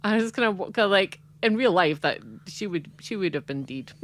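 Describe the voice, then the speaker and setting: A woman's voice: medium pitch, animated, fairly quick. A woman from Scotland with a Scottish accent, chatting casually as a podcast co-host, rambling with lots of "like" and laughing often. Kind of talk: casual chat.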